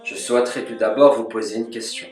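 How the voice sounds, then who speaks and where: A man teaches in a calm, measured voice, reading a scripted lesson with Arabic devotional phrases woven through it. His voice sits at an ordinary pitch and sounds a little distant from the mic. The room is echoey, with faint music playing underneath. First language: French. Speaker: religious teacher.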